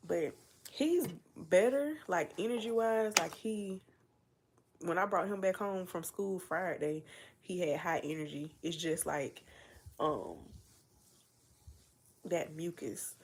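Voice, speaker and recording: Young woman, livestream host, congested cold voice, low-pitched with some vocal fry, chatty and meandering. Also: slight background noise. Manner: chatty, meandering, full of fillers and asides. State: stuffy nose from a cold. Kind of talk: casual rambling livestream chat.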